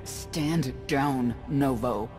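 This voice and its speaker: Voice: medium pitch, very slow. An actor playing a stern, righteous warrior in a video game, speaking in blunt, clipped commands. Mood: defiant. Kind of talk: dramatic video game acting. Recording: music behind the voice. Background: game score music.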